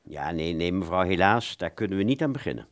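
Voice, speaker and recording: A man's voice: low-pitched, animated, a little fast. An actor playing a courteous, bookish and slightly pompous male bookseller in a radio play, explaining things with some hesitation. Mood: apologetic.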